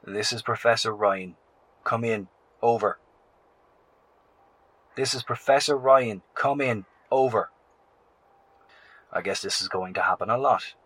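An actor playing a weary professor and bunker survivor, making grim, measured radio broadcasts in a horror audio drama. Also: male voice, lowish voice, slight background noise. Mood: uneasy, weary. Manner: measured, grave delivery. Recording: loud music under the voice.

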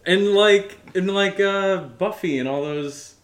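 A man's voice: sits high, slow, roomy sound. A man co-hosting a show with casual, joking banter, thinking aloud in a rambling, hesitant way. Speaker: show co-host.

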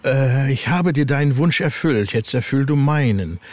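A man's voice: mid-range voice, expressive, normal speed, darkish tone, lightly acted. A man reading the part of an arrogant, scheming young witch from a horror novel. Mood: demanding. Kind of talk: read-aloud horror novel dialogue.

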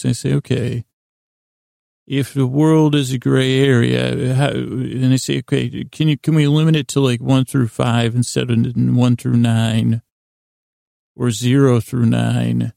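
A man hosting a bedtime sleep podcast, rambling in a halting, soothing way with a gravelly, creaky voice. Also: mid-range voice, warm tone, no room sound, clean studio sound. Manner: meandering, halting, soothing, self-interrupting. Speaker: podcast host.

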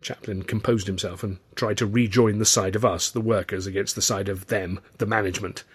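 A man's voice: fairly low pitch, steady tone, a little fast, husky. A man reading a scripted first-person story in a wry, literary manner. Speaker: author and audiobook narrator.

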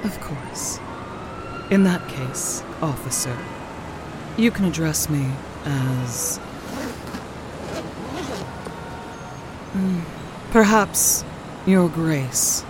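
A woman playing an imperious, cold aristocratic official who speaks in a measured, formal way in an audio drama. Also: sits low, lively intonation, very noisy, full character voice. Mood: coolly amused.

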